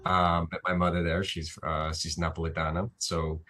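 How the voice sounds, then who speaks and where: Low-voiced hesitant man guest. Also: level tone, normal speed, bright, forceful tone. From Italy. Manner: hesitant, many fillers, laughs nervously. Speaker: actor and filmmaker guest. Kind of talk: interview.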